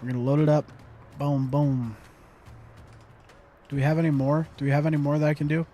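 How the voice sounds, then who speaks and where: A man's voice: on the high side, little pitch movement, brisk, warm tone, soft background music. Young man muttering over gameplay. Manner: muttering to himself, humming and repeating phrases. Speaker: gaming streamer. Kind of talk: casual gameplay commentary.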